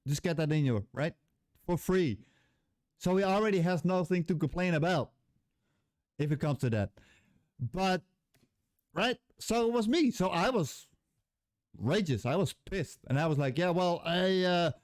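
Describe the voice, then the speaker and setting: A man's voice: sits high, a little mellow, no echo, clean studio sound. A man with a Dutch accent speaking English as a second language, ranting bluntly and heatedly to camera, swearing freely and searching for words. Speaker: vlogger, former game level designer. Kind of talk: unscripted angry rant to camera.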